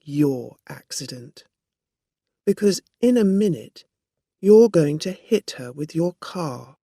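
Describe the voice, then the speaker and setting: Storybook woman, performed by a narrator: shrewd, assertive, measured delivery, dry recording. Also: medium pitch, lively intonation, gravelly, mellow, no room sound, full character voice. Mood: accusing, firm. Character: Dorothy Burns, assertive accusing woman, shrewd and controlled.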